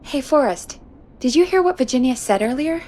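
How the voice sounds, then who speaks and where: A woman playing a calm, level-headed radio show producer in a video game. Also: sits high, moderate pace. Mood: matter-of-fact.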